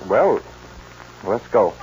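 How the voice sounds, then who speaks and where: Cautious cowboy pal, American, folksy exclamations, old radio western, dull sound with heavy noise. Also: male voice, lowish voice, sing-song, very slow, darkish tone, heavily acted. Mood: wary but game. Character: cautious but game cowboy sidekick. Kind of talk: 1940s radio western acting. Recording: noisy, muffled 1940s radio recording.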